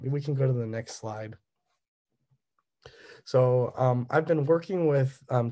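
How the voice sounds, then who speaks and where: Soft-toned man giving a halting slide talk. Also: medium pitch. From Southern California. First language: Cantonese. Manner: halting, many ums and restarts. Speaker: resident artist. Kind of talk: presenting slides at an artist talk.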